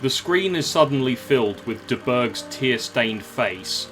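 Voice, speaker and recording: A man's voice: medium pitch, animated, a little slow, a bit bright, soft background music. A man narrating a scripted audio drama as the storyteller.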